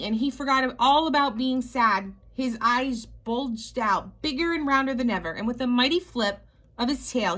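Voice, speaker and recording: Female storybook reader for young children: slightly high voice, very leisurely delivery, stretches key words, talks to the listeners. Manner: expressive, drawn-out emphasis with chatty asides to young listeners.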